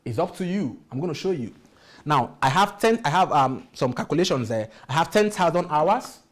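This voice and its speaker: A man's voice: a little high, expressive, normal speed. Guy teaching a workshop, punchy and repetitive, keeps asking the room questions. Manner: emphatic, repeats key phrases, asks the audience rhetorical questions. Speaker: workshop speaker. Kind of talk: motivational workshop talk.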